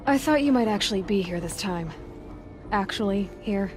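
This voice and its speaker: Young female hunter played by an actress for a game: earnest, determined, medium pitch, natural delivery. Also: expressive, normal speed, background noise, close to an everyday voice. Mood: guarded, questioning. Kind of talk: video game cutscene acting.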